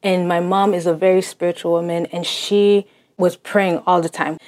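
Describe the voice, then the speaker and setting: A woman's voice: on the low side, steady tone, normal speed, a little mellow. A young woman from Massachusetts whose first language is Haitian Creole, speaking reflectively as an interview guest, with frequent fillers. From Cambridge, Massachusetts. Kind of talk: reflective casual conversation.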